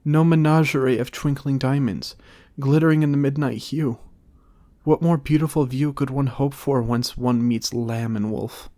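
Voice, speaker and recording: A man's voice: medium pitch, fairly even intonation, moderate pace, dark tone, pitched above the performer's own voice, close to an everyday voice. A man voicing a frightened young maiden from a storybook, pleading in a theatrical, dramatic way. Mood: desperate. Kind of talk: storybook character reading.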